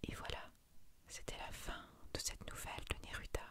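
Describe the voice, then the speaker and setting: A woman's voice: moderate pace, fairly bright tone. Whispering woman reading an ASMR story. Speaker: narrator reading a short story.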